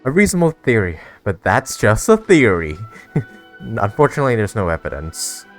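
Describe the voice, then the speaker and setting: A man with a deep voice reacts casually to a game as he plays. He thinks aloud in a hesitant, puzzled way, with faint music in the background. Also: big pitch swings, moderate pace. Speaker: gamer reacting to a game story. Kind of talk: casual playthrough commentary.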